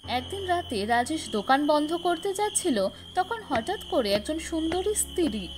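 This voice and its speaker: Female cartoon storyteller, high-pitched and expressive, scripted fairy-tale narration. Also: moderate pace, faint music behind.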